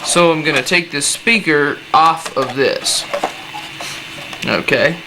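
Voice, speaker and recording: A man's voice: fairly high pitch, slow pace. A man presenting a casual, hands-on DIY tutorial with informal, slangy, laid-back phrasing. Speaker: presenter of a DIY how-to video. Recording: noticeable background noise.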